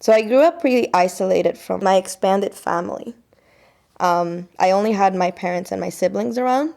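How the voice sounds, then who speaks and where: A woman's voice: mid-range voice, moderate pace, gravelly, darkish tone. A young woman from Colombia speaking casually and reflectively in an interview, with many fillers.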